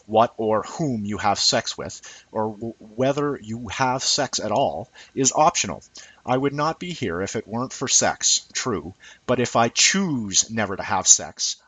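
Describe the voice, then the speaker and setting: A man reading an opinion essay aloud in an emphatic, sardonic, deliberate way. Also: on the low side, a bit bright, husky, no room sound, low-quality recording. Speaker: reader of an essay.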